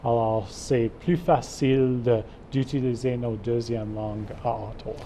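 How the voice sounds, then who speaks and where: A man from Calgary, a native English speaker with an English Canadian accent, answering interview questions carefully and haltingly in French, with frequent fillers. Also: medium pitch, steady tone, dark tone, slight background noise. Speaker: guest, club co-chair. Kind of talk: interview answers in a second language.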